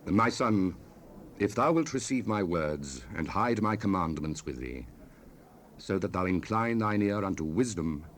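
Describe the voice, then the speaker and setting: Stern biblical father-sage, lowish pitch, solemn declamation, archaic scripture drama, slightly noisy cassette rip. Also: expressive, measured pace. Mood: solemn, earnest. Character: stern fatherly biblical sage, exhorting and warning his son. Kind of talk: dramatized King James scripture reading, 1970s audio production.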